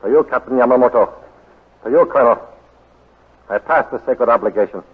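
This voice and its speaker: Actor as cold, imperious baron in hollow old radio. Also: male voice, mid-range voice, husky, lightly acted. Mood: solemn, resigned. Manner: formal, measured, grave. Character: cold, imperious Japanese baron, head of government. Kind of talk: 1940s radio drama acting. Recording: hollow, lo-fi 1940s radio recording with long reverb.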